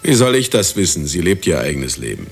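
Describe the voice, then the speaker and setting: An actor playing an aging, self-absorbed ex-husband who narrates a radio play in a wry, brooding, theatrical way with bitterness. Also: male voice, low voice, big pitch swings, fairly quick, faint music behind. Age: older. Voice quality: gravelly, husky. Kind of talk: radio drama acting and narration.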